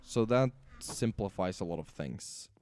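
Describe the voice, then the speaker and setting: Hoarse male streamer, mellow and lively. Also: lowish voice, unhurried, slight background noise. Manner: talks to himself while building machines. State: raspy, sore voice. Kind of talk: casual gameplay commentary.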